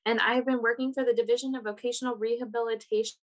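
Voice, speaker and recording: A woman interpreter voicing a panelist's words in steady, plain first-person narration on a video call. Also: fairly high pitch, quick pace, poor audio.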